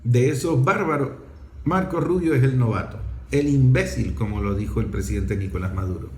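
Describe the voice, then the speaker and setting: A man's voice: mid-range voice, moderate pace, darkish tone, slight background noise. Scornful male political commentator in echoey room. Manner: emphatic, scornful, rhetorical questions. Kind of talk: opinionated political monologue.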